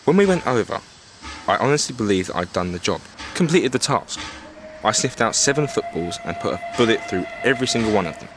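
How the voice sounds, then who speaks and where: An actor playing a blustering, indignant British army captain of the First World War in a period audio drama, with a clipped English accent. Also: male voice, lowish voice, very animated, fairly quick, music in the background. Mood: defensive, forceful.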